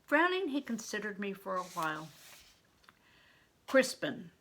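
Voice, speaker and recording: An older woman reading a children's novel aloud as expressive storytelling narration. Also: on the low side, unhurried, husky.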